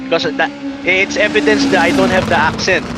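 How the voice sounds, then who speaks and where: A man with a Filipino accent co-hosting a sim rally stream, halting and self-correcting. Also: high-pitched. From Philippines. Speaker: co-host and steward. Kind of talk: live esports commentary. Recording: clear background noise.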